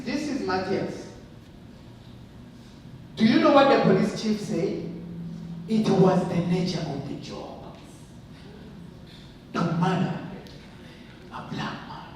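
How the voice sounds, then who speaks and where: Man, impassioned public speaker, emphatic repetition, rhetorical questions, distant mic, reverberant noisy hall. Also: on the high side. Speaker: community forum speaker. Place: large indoor arts venue. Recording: noisy, echoey hall recorded from far off.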